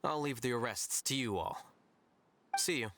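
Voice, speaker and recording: A man's voice: mid-range voice, normal speed, noisy background, lightly acted. A man voicing an aloof, curt scholar in a video game. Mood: detached.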